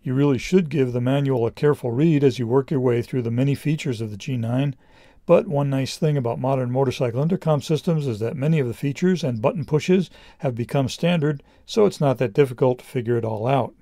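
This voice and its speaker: Mellow man narrating a product review. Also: medium pitch, brisk, no echo, studio quality.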